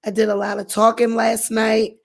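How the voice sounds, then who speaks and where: A woman hosting her own commentary channel, speaking with a hoarse voice and a chatty manner full of "you know" and "um" fillers. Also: fairly high pitch, monotone, moderate pace. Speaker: channel host. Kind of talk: casual commentary vlog.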